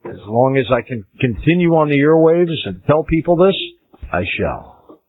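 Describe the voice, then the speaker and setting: A man hosting a radio show, answering a caller in a steady, reassuring and emphatic way. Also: a little high, normal speed, no room sound. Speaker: radio host. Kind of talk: call-in radio talk. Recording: narrow phone-like radio sound.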